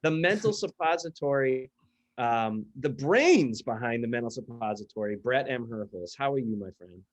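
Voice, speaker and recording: A man's voice: mid-range voice, lively intonation, normal speed. A man hosting a casual interview, speaking in a jokey, tongue-in-cheek, teasing way. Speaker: podcast interviewer and host.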